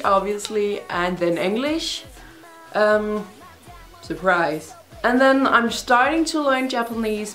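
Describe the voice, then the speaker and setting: A woman's voice: mid-range voice, fairly bright tone. A young woman from Denmark, a native Danish speaker with a Danish accent, answering viewer questions in a chatty, rambling, self-deprecating vlog. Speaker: vlogger. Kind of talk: casual Q&A vlog. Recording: roomy echo, faint music behind.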